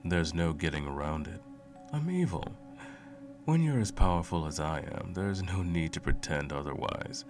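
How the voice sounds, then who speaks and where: A man playing a cruel, smug, all-powerful sorcerer in an ASMR roleplay, with a slow, condescending, menacing drawl and a gravelly voice. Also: low voice, lively intonation. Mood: sadistic, gloating. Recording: music playing under the voice. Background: music.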